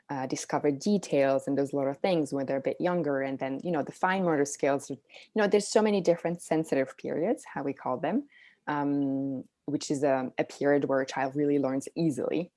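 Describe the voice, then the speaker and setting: A woman speaks with a very low, dark and relaxed voice. She explains things as an interview guest in a casual, informal way, with plenty of conversational filler phrases. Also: normal speed. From Switzerland. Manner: informal, hedging with "like" and "you know". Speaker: interview guest, Montessori parenting coach.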